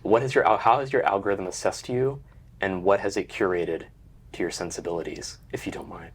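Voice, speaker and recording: A man with a very deep, low voice leads a relaxed talk show, posing careful, open questions. His phrases often sink into near-whispers. A faint noise floor sits under the recording. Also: a little fast. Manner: thoughtful, trails into quiet whispery endings. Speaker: host. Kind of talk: casual discussion, asking questions.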